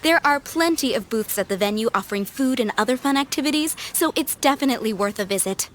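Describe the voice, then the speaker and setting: A high-voiced, helpful game townswoman. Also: animated, fast, fairly bright tone, slight background noise. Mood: friendly. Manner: polite, upbeat, explanatory. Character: friendly, helpful townswoman giving event information. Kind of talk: video game voice acting. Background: music.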